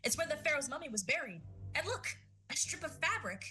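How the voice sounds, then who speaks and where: A woman's voice: sits high, big pitch swings, brisk, bright, forceful tone, faint music behind, exaggerated voice. An actress playing a smug, bookish cartoon teen girl with a nasal voice in an audio drama. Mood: smug, knowing. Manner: smug, expository.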